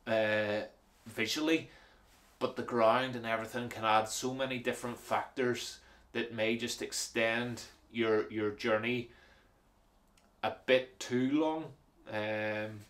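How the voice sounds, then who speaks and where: A man casually walking through his winter hiking kit, explaining each item with plenty of fillers and repeated words. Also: mid-range voice. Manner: relaxed, practical, frequent fillers and repeated words. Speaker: hiking vlogger. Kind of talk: casual gear walkthrough.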